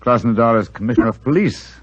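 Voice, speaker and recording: An actor playing a brisk, sharp-minded detective in a 1940s radio drama. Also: male voice, medium pitch, breathy edge, dry recording, natural voice. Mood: measured.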